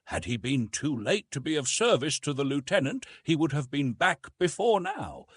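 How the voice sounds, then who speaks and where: Give this to a resolute young Southern lady played by a male narrator, proud and argumentative in an old adventure novel. The pitch is lifted and swings widely as the formal sentences press each point. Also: sing-song, normal speed, breathy edge, no echo, studio quality. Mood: determined, insistent. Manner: emphatic, formal, insistent.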